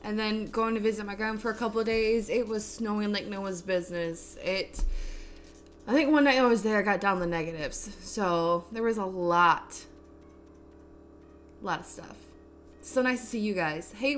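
A woman with a raspy voice hosting a casual cooking livestream, bubbly and laughing as she greets viewers one by one. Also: medium pitch, moderate pace, long pauses. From Texas. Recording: faint music behind the voice. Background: faint background music.

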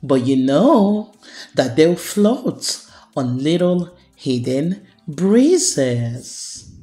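A woman hosting a children's storytime, reading a picture book aloud in a deliberate, expressive storyteller's delivery. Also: low-pitched, soft background music.

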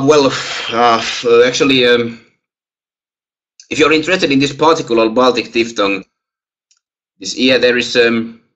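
Hesitant Finnish-accented man with bright voice. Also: lowish voice, dull audio. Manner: hesitant, many fillers and restarts. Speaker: guest lecturer. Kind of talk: webinar Q&A answers. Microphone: headset on video call.

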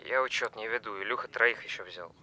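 A man's voice: on the low side, a little fast, pushed and bright. An actor playing a hard-up small-time scammer and young father, awed and sheepish, using hesitant street slang in an audio film. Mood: weary, confiding.

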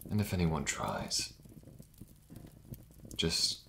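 A man playing an idealistic, protective crime boss in an ASMR fantasy roleplay, speaking slowly and intimately in a breathy half-whisper. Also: a bass voice, big pitch swings, bright, forceful tone, a little hiss or hum, exaggerated voice. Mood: tender, reassuring. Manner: slow, intimate, measured, formal wording.

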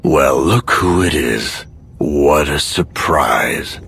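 Very deep, raspy, smug villain. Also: male voice, a bit bright, exaggerated voice. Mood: smug, threatening. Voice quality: very deep, gravelly rasp. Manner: slow, taunting, self-satisfied. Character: smug, menacing villain, cunning bomb-maker. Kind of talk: video game villain acting.

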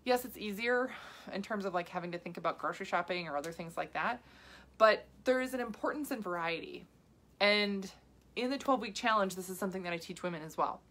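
A woman in her thirties talks to her viewers like a health coach on a live stream. She rambles in a chatty way, with restarts and side stories. Her voice sits at an ordinary middle pitch. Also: brisk, a bit bright.

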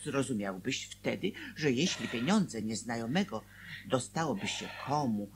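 Anguished, reproachful wife, swooping pitch. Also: female voice, lively intonation, normal speed, noisy background. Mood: bitter, distressed. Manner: emotional, accusatory, theatrical. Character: anguished, reproachful small-town wife arguing with her husband. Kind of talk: Polish radio drama acting.

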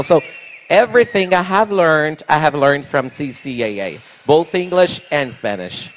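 A middle-aged Brazilian man, Portuguese native, speaking English as a talk show guest with a handheld mic, relaxed with many fillers. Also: a little high. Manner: relaxed, laughs easily, many fillers like "you know". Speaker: guest, school owner and pastor. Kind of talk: interview in English. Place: indoor talk show stage on a sofa.

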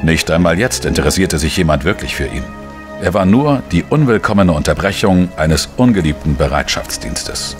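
An actor playing a wry, world-weary journalist who narrates a radio crime drama in a measured, dry manner. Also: male voice, deep voice, animated, faint music behind. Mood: reflective. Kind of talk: scripted first-person crime narration.

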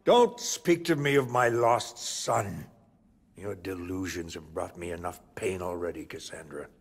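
A man's voice: sits low, measured pace, gravelly, husky, full character voice. An actor playing an old, commanding, guilt-ridden king of Troy in a video game, with a regal, weighty delivery. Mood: stern. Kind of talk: dramatic video game acting.

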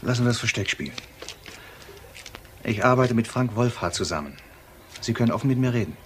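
Brisk, impatient investigator, played by a man, noisy scene. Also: mid-range voice, animated, close to an everyday voice. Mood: sardonic. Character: brisk, impatient, loyal male investigator, sardonic under pressure. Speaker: actor in a crime radio drama. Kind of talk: naturalistic German radio play acting.

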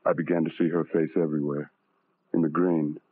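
An actor playing a lonely, self-loathing man in an old-time radio horror monologue, intense and halting, repeating phrases obsessively. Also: extremely low pitch, little pitch movement, husky, no echo. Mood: yearning, tormented. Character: tormented, lonely, self-loathing ugly man recalling his past. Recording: tinny band-limited old radio, music behind.

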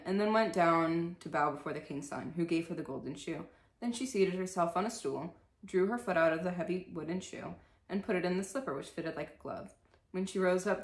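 Young female storyteller, lowish voice, theatrical reading with irreverent casual asides, roomy bedroom sound. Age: young adult. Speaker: storyteller and video host. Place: indoors, small bedroom. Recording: echoey room.

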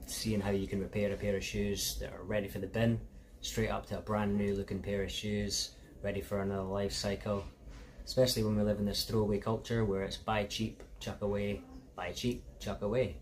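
A man's voice: on the low side, slight background noise. Chatty Scottish cobbler in his thirties. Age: 30s. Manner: relaxed, chatty, addresses viewers as guys. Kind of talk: casual workshop vlog. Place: small shoe repair shop, indoors.

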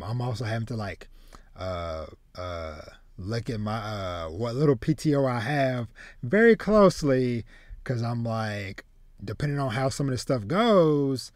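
A man in his thirties hosts a casual podcast in a halting way, doubling back on his words. The sound is close and dry, with no room on it. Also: on the low side, a little mellow, dry recording. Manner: halting, trailing, repeats words. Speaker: podcast host. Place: small home room with shelves, video call. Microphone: close desk mic.

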